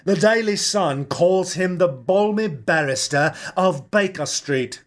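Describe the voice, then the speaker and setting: A man's voice: sits high, expressive, slow pace, voice pushed up. A man voicing a resentful, scornful pub landlord in his thirties for an audiobook, speaking emphatically. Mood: authoritative gossip. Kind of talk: audiobook character acting.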